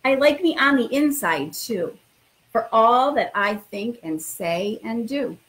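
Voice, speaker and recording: Higher-voiced woman reading to children. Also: animated, takes it slowly, fairly bright tone, rough recording. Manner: encouraging, addresses children directly. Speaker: elementary school principal. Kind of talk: informal talk and children's picture book read-aloud.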